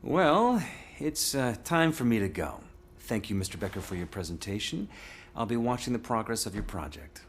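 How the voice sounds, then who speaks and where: Suave, lively-voiced dignitary. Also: male voice, mid-range voice, moderate pace, a little hiss or hum. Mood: breezy, polite.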